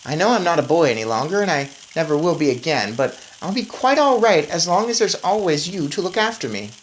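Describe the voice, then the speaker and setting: Woman voicing an eager, lively boy turned mouse. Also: low voice, fairly quick, slight background noise, rough recording. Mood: calm, upbeat.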